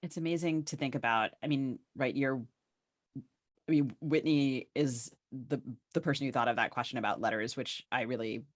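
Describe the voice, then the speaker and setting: Woman, podcast host, literary conversation, thoughtful, halting phrasing. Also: a bass voice. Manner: halting, searching for words.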